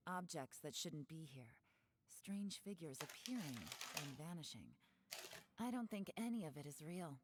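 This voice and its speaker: Cool, low-voiced sardonic operative. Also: female voice, lively intonation, moderate pace, noisy background. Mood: cool, wary. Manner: composed, dry, pointed. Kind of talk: video game voice acting.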